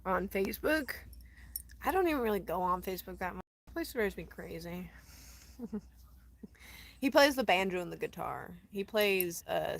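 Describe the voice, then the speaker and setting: A chatty woman with a slightly low voice and no particular accent, talking into her phone outdoors in the desert. She rambles easily, laughs a lot and slips into quiet asides. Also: gravelly. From Colorado. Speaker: van-dwelling traveler streaming live. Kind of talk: casual live stream chat.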